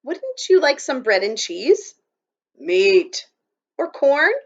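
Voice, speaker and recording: A woman voicing an eager, excitable young boy who talks in a high, loud, breathless way. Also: measured pace, highs cut off, exaggerated voice.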